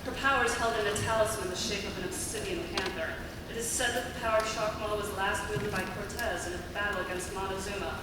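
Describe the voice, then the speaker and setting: An actress playing an imperious succubus coven leader, with grave, dramatic, formal delivery at a live script reading. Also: female voice, medium pitch, steady tone, fast, natural voice. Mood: grave, foreboding. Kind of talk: live table read of a TV script. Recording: echoey convention hall, distant, loud noise.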